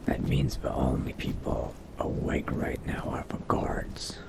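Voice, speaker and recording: A man voicing a wary, hungry undead vampire in a game roleplay, muttering to himself in a breathy, gravelly whisper. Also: lightly acted. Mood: wary, stealthy. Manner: slow, hushed, muttering to himself. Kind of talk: in-character video game roleplay narration.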